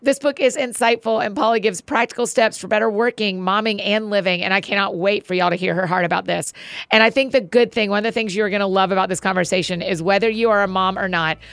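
Woman podcast host, bubbly and chatty, gravelly edge, dry close sound. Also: mid-range voice, steady tone, fast, a bit bright, no echo. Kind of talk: friendly podcast interview.

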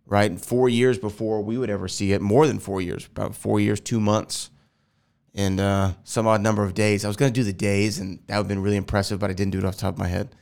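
A man's voice: fairly low pitch, level tone, brisk, vocal fry, a little mellow, clean tone. A man hosting a solo podcast, rambling and correcting himself as he goes, with a nasal voice because he is getting over a sinus infection. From Houston, Texas. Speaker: podcast host. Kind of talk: casual solo podcast talk. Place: air-conditioned house.

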